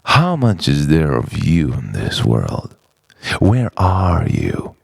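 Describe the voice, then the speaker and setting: A man delivers an introspective spoken-word piece in a low, creaky voice. He moves slowly through halting, poetic phrases and questions, with pitch that swings widely from line to line. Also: huge pitch swings, no room sound, studio quality. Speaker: narrator of a personal art film.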